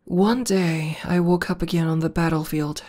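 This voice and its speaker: A woman playing a proud, battle-hardened tomboy demon girlfriend in an intimate ASMR roleplay, talking conversationally with thoughtful pauses. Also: on the low side, fairly even intonation, a little mellow, natural voice. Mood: reflective, proud. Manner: unhurried, hesitant pauses, conversational.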